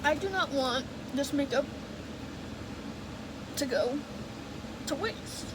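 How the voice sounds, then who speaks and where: A young woman with a high voice and a stuffy, sniffly nose talks slowly and loosely to her viewers, rambling and correcting herself as she goes. She sits in her bedroom, and the steady roar of a fan and air conditioner fills the background. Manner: chatty, rambling, self-correcting. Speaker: vlogger. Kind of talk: casual vlog chat. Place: bedroom at home with AC and fan running. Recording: loud fan and AC noise behind.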